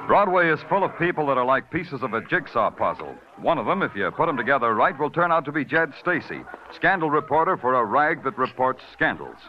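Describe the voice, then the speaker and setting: A man's voice: mid-range voice, very animated, a little fast, few pauses, background noise, lightly acted. An actor playing a terse, hard-bitten male police detective who also narrates in a brooding, poetic way, in 1940s radio acting. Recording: dull old radio broadcast with music.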